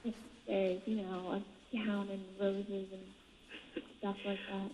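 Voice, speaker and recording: A woman's voice: medium pitch, level tone, slow, warm tone. A woman chatting casually over the telephone in a recorded call. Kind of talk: casual phone conversation. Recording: thin, reverberant, noisy phone line.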